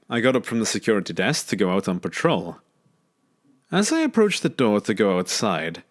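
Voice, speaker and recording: A man narrates a first-person scary story from a script. His voice is on the low side. His intonation moves widely and keeps the reading animated.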